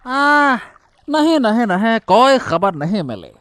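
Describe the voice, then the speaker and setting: A man's voice: very high voice, sing-song, slow pace, a bit bright, clean tone, no echo, full character voice. A man voicing a scheming, defensive boatman in a cartoon story, flustered and exclamatory, often repeating his words. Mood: defensive anger. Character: scheming, defensive male boatman hiding a secret. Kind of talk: Hindi moral-story cartoon dubbing.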